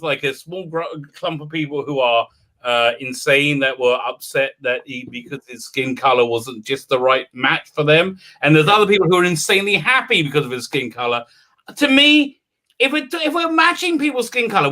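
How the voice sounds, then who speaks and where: Halting man with a bright, high-ish voice. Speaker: podcast panelist. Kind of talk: casual panel discussion.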